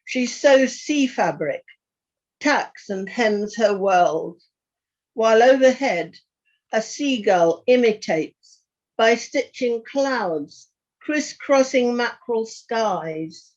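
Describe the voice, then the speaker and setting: A woman reciting a poem in measured, lingering phrases on a dull, lo-fi recording. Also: fairly high pitch, lively intonation. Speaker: poet reading a poem. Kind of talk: poetry recitation. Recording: dull lo-fi sound.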